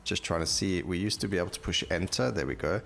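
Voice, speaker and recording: A man's voice: deep voice, lively intonation, fast talker, vocal fry, a bit bright, soft background music. A man giving casual gameplay commentary as a gaming video host, thinking aloud as he reads out stats on screen.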